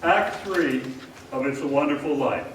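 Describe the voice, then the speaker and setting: A man's voice: mid-range voice, normal speed, recorded from a distance. A man narrating and announcing in showy, dramatic old-time radio style. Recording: echoey, noisy theater stage from afar.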